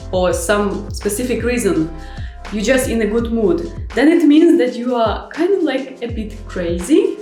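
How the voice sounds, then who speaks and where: Young woman, Ukrainian accent, fairly high voice, frank chatty vlog, echoey room, soft music behind. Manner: chatty, frank, non-native grammar with many fillers. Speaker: vlogger. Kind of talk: casual vlog monologue.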